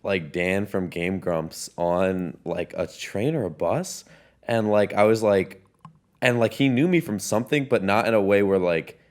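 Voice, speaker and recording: A man's voice: lowish voice, a little slow. A young man co-hosting a podcast, chatting casually and rambling with lots of fillers on a small studio stage. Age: young adult. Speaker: podcast co-host. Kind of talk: casual chat. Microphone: handheld mic.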